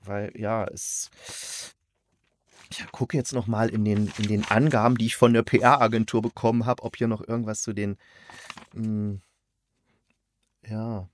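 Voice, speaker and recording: A man's voice: on the low side, level tone, unhurried, long pauses, slight background noise. A man hosting a casual review conversation, thinking aloud in a colloquial, rambling way. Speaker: podcast host and audio drama reviewer.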